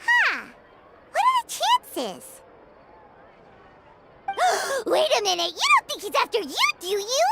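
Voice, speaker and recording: A woman voicing a tiny, excitable, curious fairy companion in a video game, with a squeaky childlike voice and a wide-eyed manner. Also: slow, long pauses, heavy background noise, exaggerated voice. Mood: surprised. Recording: music behind the voice.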